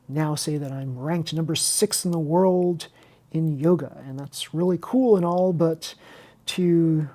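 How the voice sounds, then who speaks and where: Husky woman chatting through a hobby build. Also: low voice, dark tone. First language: Chinese. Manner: rambling, candid, self-interrupting. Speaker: hobby video host and teacher. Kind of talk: unscripted casual chat over a model car build tutorial.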